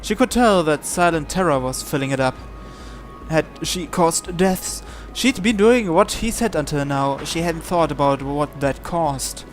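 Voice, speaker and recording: A man with a slightly high voice narrates a visual novel as he plays. He reads aloud and sometimes trips over a word and repeats it. Faint music runs behind him. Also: lively intonation, measured pace. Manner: stumbles and repeats words while reading. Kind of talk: live read-aloud narration in English.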